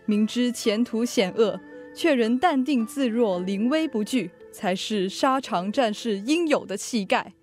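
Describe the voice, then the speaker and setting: A woman's voice: on the high side, expressive, unhurried, darkish tone, faint music behind. A teenage girl playing the solemn narrator of an ancient war tale in a scripted radio drama. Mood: solemn, reverent.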